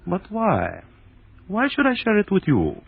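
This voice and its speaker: An actor playing a megalomaniac scientist in old-time radio horror, speaking in a theatrical, emphatic, gloating way. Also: male voice, high-pitched, huge pitch swings, measured pace, vocal fry, breathy edge, full character voice. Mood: haughty. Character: grandiose megalomaniac male scientist, gloating over his secret power. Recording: muffled, tinny vintage radio broadcast.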